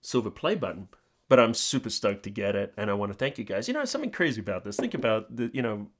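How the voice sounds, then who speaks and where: A man's voice: lowish voice, very animated, quick pace. A middle-aged man, chatting informally and in a rambling way to his viewers as a channel host. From New Zealand. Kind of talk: casual talk to viewers.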